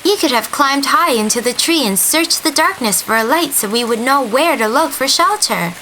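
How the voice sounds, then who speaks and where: A woman's voice: high-pitched, animated, normal speed, slight background noise, pitched above the performer's own voice, low-quality recording. A woman voicing exasperated grown village uncles, male hunters who scold and call out, in a children's story. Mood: regretful. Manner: scolding, loud calling.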